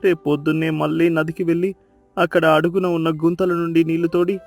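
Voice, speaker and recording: Man acting high-voiced, humble village labourer. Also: flat delivery, fairly quick, creaky, faint music behind. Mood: sorrowful, fearful. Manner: deferential, pleading, worried. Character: humble, anxious poor village servant or farmer. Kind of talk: Indian moral-story cartoon dialogue.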